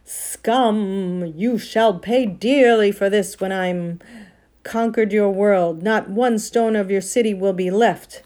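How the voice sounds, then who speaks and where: A woman voicing a haughty, menacing witch in an audiobook, declaiming imperiously in a clear, ringing voice. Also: mid-range voice, takes it slowly, warm tone, lightly acted. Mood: exultant menace. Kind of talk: audiobook character acting.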